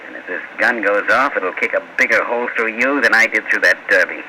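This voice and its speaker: An actor playing a tough, curt small-time crook in 1940s radio style, slangy and threatening. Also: male voice, fairly low pitch, brisk, pushed and bright. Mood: menacing. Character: tough, menacing small-time male racketeer. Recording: noisy old-time radio broadcast recording.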